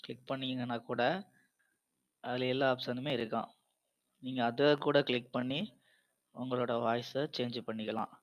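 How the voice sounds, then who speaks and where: A man's voice: medium pitch, flat delivery, normal speed, long pauses. A man narrating a step-by-step app tutorial in a plain, informal, instructional way. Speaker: tutorial host.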